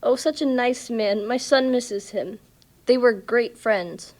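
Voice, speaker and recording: Woman playing a high-voiced, tender mother. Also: takes it slowly, a little mellow. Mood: pleasantly surprised. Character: tender, reassuring mother of a young boy. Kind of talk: children's puppet show drama.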